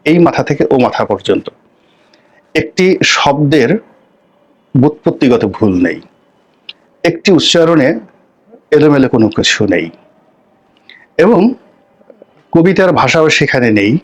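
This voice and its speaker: A middle-aged man with a Bangladeshi accent gives unscripted political commentary and personal anecdotes, phrasing things deliberately with drawn-out pauses. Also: mid-range voice, very animated. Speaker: political commentator, former parliamentarian.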